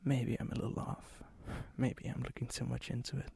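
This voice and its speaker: A man playing a charming, ancient vampire and hopeless romantic in a soft-spoken, often whispered ASMR roleplay, slow, intimate and courteous, with a breathy, husky voice. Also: medium pitch, flat delivery, exaggerated voice. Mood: flirtatious, courteous. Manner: slow, intimate, teasing, old-fashioned courtesy. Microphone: close mic, dry sound.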